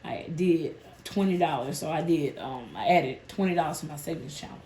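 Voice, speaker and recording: A woman, hoarse and a bit nasal from a sinus illness, chatting casually with her viewers about her budget. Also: low voice, moderate pace, warm tone, reverberant, a little hiss or hum. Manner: chatty, addresses viewers as "y'all". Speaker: budgeting channel host.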